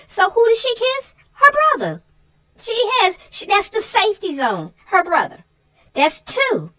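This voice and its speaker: A woman casually discussing a children's story, animated and walking through points one by one. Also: very high-pitched, poor audio. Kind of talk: casual chat about a children's book.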